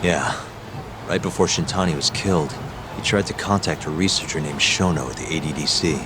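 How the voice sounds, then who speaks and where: A man's voice: rumbling low, fairly quick, noisy background. A man voicing a determined, protective young detective in an English video game dub, with a laconic, assured delivery. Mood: earnest, protective. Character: determined, cool-headed young male detective, protective and reckless. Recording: background music under the dialogue.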